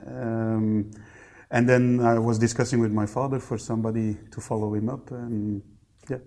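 A man in his thirties with a Belgian accent, answering questions as a podcast guest in a conversational, somewhat halting way. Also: lowish voice, level tone, mellow. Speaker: interview guest, company general manager.

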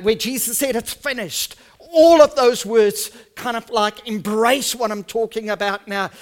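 A middle-aged male pastor whose first language is Afrikaans, with a South African Afrikaans accent, preaching earnestly and moving between hushed, soft stretches and loud, emphatic ones. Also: very high-pitched. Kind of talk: sermon with personal storytelling.

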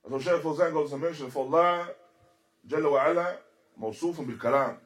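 A man giving a religious lecture as a teacher, speaking deliberately and emphatically in short, repeated phrases and switching into Arabic quotations. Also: a little high. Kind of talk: religious lecture explaining a text.

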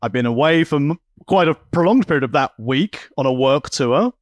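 A man's voice: a little high, moderate pace, dry recording, studio quality. A man co-hosting a podcast, chatting casually and acting out stories with quoted voices. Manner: animated storyteller, acts out quoted voices. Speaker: podcast co-host. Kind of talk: casual chat.

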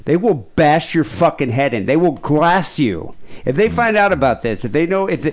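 A man's voice: on the high side, expressive, normal speed. A man co-hosting a podcast with casual, comedic banter and a crude, profane way of talking. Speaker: podcast co-host.

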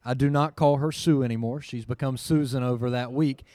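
A man's voice: medium pitch, steady tone, a little fast, darkish tone, no room sound. Man, earnest testimony, storytelling, church audience, handheld mic, dry sound. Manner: earnest, storytelling, with frequent fillers. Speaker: mission team member giving a report.

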